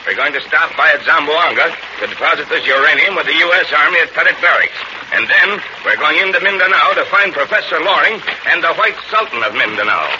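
An actor playing a calm, commanding American uncle and skipper, assured and instructive, in a 1940s radio serial. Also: male voice, fairly high pitch, brisk, natural voice. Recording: muffled, noisy vintage radio recording.

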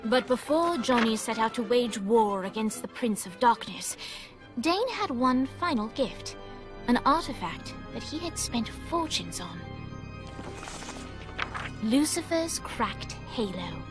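A woman playing a storybook narrator in a video game, telling an infernal legend in measured, dramatic scripted narration. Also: a little high, very animated. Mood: solemn storytelling. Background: music.

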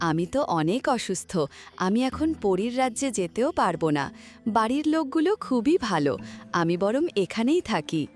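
A woman's voice: a little high, big pitch swings, normal speed, soft background music. A woman voicing a grateful, kindly fairy in a cartoon, musing to herself. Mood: relieved. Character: grateful, kindly female fairy disguised as a pigeon. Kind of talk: cartoon dialogue acting.

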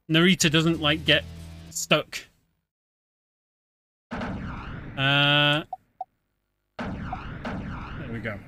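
A man with a British accent gives casual, chatty commentary on a game as he plays. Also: fairly high pitch. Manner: relaxed, chatty, explaining as he plays. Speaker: streamer.

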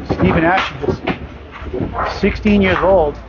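A man's voice: sits high, slow pace. A man announcing a bowling tournament live, reading off scores and bowler stats in a matter-of-fact way from inside a bowling alley. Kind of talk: live sports commentary. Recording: dull lo-fi sound with loud alley noise.

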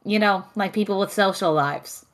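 A woman's voice: mid-range voice, normal speed, close to an everyday voice. A woman playing a dry, affectionately sarcastic young homebody, teasing her best friend in an audio drama. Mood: wry, teasing. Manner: wry, teasing.

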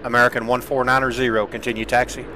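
Male game streamer, middle-pitched, controller-style calls and casual asides, faint airport ambience behind. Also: quick pace. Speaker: streamer playing air traffic controller. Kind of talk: gameplay commentary and radio-style controller calls. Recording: steady background noise from game ambience.

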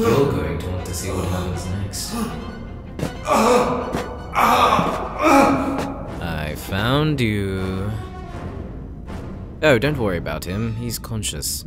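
A man playing a sadistic demon who has possessed a man's body, taunting with a condescending, theatrical drawl in an audio roleplay drama. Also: sits low. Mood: cruel gloating. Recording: very echoey, clear music underneath. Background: music.

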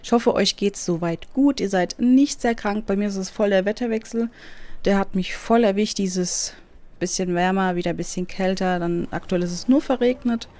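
A woman's voice: medium pitch, moderate pace, darkish tone. A woman hosting a let's play with casual commentary, her voice a little stuffy from a cold. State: slightly congested from a cold. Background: background game music.

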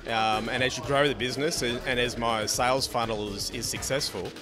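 A man's voice: medium pitch, fairly even intonation, brisk. A man in his forties, a small business owner, answering thoughtfully with restarts and uhs. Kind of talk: vox pop interview answer. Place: indoor function room. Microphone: handheld interviewer mic. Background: background music.

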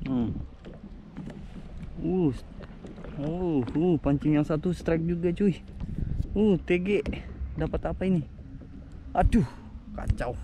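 Young man, excitable fishing vlogger, slow-spoken, outdoor wind and water noise. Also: on the high side. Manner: excitable, groaning and exclaiming while reeling in. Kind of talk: casual first-person fishing vlog. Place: outdoors on a kayak at sea. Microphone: action camera on the kayak.

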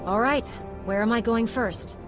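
An actress playing a confident, heroic commander in a video game, composed and earnest. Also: female voice, a little high, unhurried, music in the background, close to an everyday voice. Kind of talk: scripted video game acting.

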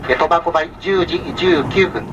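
Man, train conductor, formal polite announcement, distant onboard loudspeaker, vehicle noise behind. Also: a little high, muffled recording. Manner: formal, polite, measured listing with small filler sounds. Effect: public address speaker. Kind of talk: onboard public address announcement. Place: inside a moving sleeper train, over its speakers.

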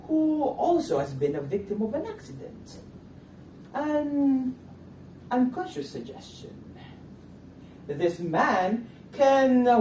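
A man's voice: very high voice, dark tone, full character voice. A man playing a cunning, bitter forger in a stage play, declaiming in a mocking way. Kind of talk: theatrical one-act stage play acting. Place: furnished indoor stage set, distant camera. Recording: echoey room, distant mic, background noise.

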